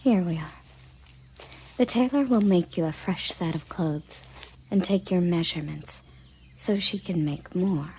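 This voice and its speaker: A haughty female elven captain of the guard, acted by a woman for an audio roleplay. Her voice is breathy, mellow and on the low side. She speaks slowly and curtly, giving commands with cool condescension. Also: slight background noise. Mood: annoyed, commanding. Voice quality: husky, breathy, soft low voice. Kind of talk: audio roleplay addressed to the listener.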